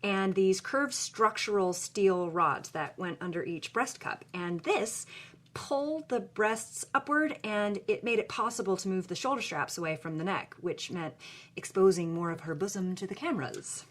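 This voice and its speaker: A woman's voice: mid-range voice, moderate pace, fairly bright tone. A woman chatting casually about film history as a guest commentator.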